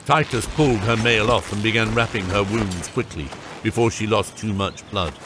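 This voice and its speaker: A man's voice: fairly low pitch, moderate pace, noisy background. A man narrating a scripted fantasy audiobook. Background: loud music.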